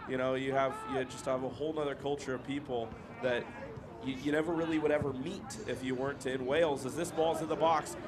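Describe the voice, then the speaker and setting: A young American man doing brisk, chatty play-by-play commentary on a student football match. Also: mid-range voice, fairly even intonation. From United States. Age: young adult. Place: outdoor football ground. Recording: live outdoor broadcast with loud crowd. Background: loud crowd noise.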